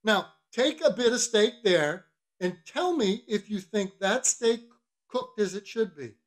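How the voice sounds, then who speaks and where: Narrator doing a bossy whaling officer, slow and teasing, pitch jumps all over, audiobook style. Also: expressive. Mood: bossy, scolding. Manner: commanding, teasing, mock-solemn questioning. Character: bossy, mocking whaling-ship officer, a hearty man at supper. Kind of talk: literary audiobook character reading.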